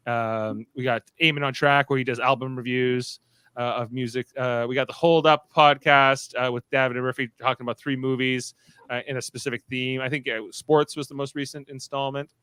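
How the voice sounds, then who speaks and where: Bright, clear-voiced man hosting, halting delivery. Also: medium pitch, fairly even intonation, no room sound. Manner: halting, rambling, self-interrupting. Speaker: podcast host. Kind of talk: casual unscripted show wrap-up chat.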